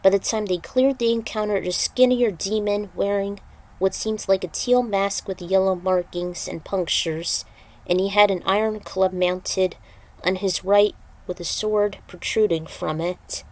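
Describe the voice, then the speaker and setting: A woman's voice: medium pitch, fairly even intonation, measured pace, darkish tone, rough recording. A woman narrating a fan fiction story informally, with side remarks.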